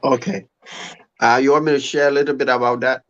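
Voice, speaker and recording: A man's voice: medium pitch, fairly even intonation, a little fast, lo-fi sound. A man in his fifties from Ghana with a Ghanaian accent, speaking as a guest pastor in a conversational religious style. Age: 50s. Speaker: pastor, guest on a live stream. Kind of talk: conversational testimony and scripture reading.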